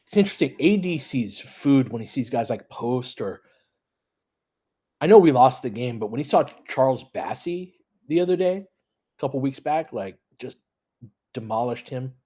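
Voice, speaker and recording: A man with a mid-pitched, somewhat husky voice hosts a live basketball stream. He talks casually and analytically, moving between calling the action and chatting informally with his listeners. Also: expressive, moderate pace, mellow. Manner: conversational, analytical, informal with mild swearing. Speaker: host of a fan audio play-by-play stream.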